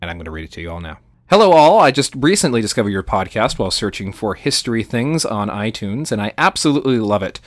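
A man's voice: on the low side, very animated, fast, no room sound, clean studio sound. A man co-hosting a podcast, chatting casually and reading out listener mail at the microphone. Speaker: podcast co-host.

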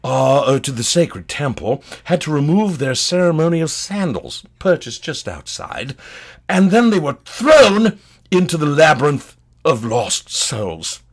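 A man playing a pompous, eccentric antiquities scholar, easily thrilled, with a halting, stammering, digressive delivery in a comedy lecture. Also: on the high side, big pitch swings, slightly rough, heavily acted. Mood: dramatic, astonished. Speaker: guest. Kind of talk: improvised-sounding comic lecture in character.

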